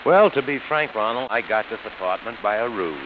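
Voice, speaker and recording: An actor playing an embittered, falsely genial schemer with quiet menace, savoring his words in 1940s radio suspense style. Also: on the low side, lively intonation, a little fast, close to an everyday voice. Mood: slyly confiding. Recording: muffled, hissy vintage radio recording.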